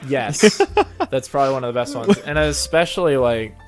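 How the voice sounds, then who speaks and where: A man's voice: mid-range voice, lively intonation, normal speed. Casual male interviewer over background music. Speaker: show host and interviewer.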